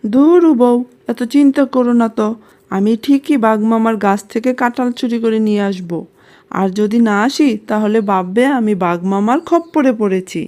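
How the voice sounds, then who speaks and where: A woman's voice: fairly high pitch, a little slow, warm tone, lightly acted. A woman voicing a cunning, cocky male fox thief in a children's cartoon, boastful and breezily dismissive. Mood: eager, confident. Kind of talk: children's cartoon character acting.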